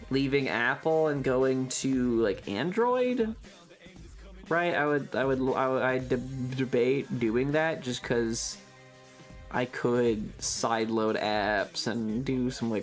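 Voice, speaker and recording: A livestream host chatting casually with viewers in a rambling, loose, casually profane way. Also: mid-range voice. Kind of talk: casual chat with stream viewers.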